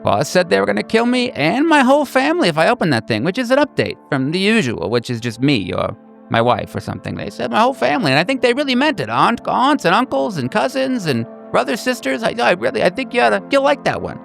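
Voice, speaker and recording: A man voicing a smarmy, deeply sarcastic government agent who talks in a mocking, fake-chummy, insistent way for a tabletop horror game. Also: big pitch swings, quick pace, gravelly, fairly bright tone, faint music behind, full character voice. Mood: flippant, wheedling.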